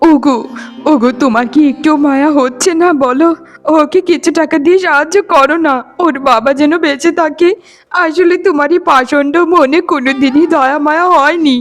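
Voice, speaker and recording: A woman's voice: high-pitched, moderate pace, soft background music, natural voice. A woman voicing a kind-hearted but defiant wife and aunt in a melodramatic cartoon, entreating and reproachful. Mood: reproachful pleading. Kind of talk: melodramatic Bengali cartoon voice acting.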